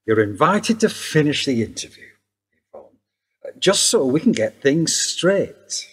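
A man's voice: a little high, sing-song, unhurried, slightly rough, long reverb tail, full character voice. An easygoing male detective. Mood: friendly. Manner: friendly, easygoing, reassuring. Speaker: police detective. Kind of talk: radio play acting.

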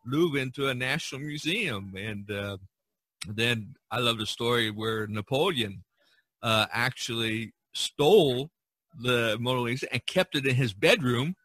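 A man with a bright, forward voice hosts a casual podcast discussion. He rambles through his stories in a conversational way, and the sound is dry and close. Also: medium pitch, measured pace, dry recording, rough recording. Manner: rambling, storytelling. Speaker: podcast host. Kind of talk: casual discussion of art history.

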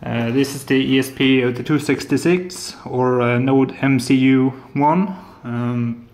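A man's voice: mid-range voice, steady tone, takes it slowly. A man in his thirties from Norway with a Norwegian accent, showing a hobby electronics build at home in a hesitant way with lots of filler sounds. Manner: hesitant, many filler sounds, soft-spoken. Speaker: hobbyist maker. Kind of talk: casual tech demo. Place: apartment living room and kitchen, indoors. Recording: echoey room.

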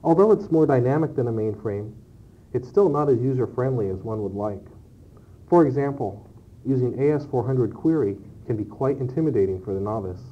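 A man's voice: medium pitch, expressive, fairly quick. A man giving scripted product answers as an interview guest on an old cassette. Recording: dull, noisy early-1990s cassette tape.

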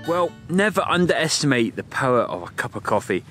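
A man's voice: medium pitch, big pitch swings, fairly quick, music in the background. A man in his forties hosting an outdoor vlog on a hilltop, talking to a camera held at arm's length in a chatty, upbeat, self-mocking way. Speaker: vlog host, landscape photographer. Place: open windy hilltop outdoors.

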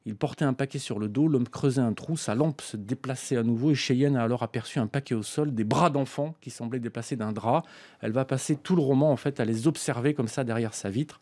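A man's voice: mid-range voice, fairly quick, a little mellow. A middle-aged man presenting a scripted book review in a radio studio, reading passages aloud. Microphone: studio microphone, headset monitoring.

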